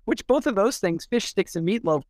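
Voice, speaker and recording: A man in a casual podcast discussion as an editor, talking in a halting, rambling way and repeating words as he forms thoughts. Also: high voice, animated, darkish tone, dry recording, studio quality. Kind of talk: casual discussion with a short read-out.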